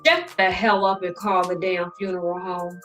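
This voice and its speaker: An actress playing a haughty, sharp-tongued elder sister in a family drama, with a curt, sassy, condescending manner. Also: female voice, fairly low pitch, level tone, normal speed, faint music behind, natural voice. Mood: affronted, bossy. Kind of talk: dramatic play reading.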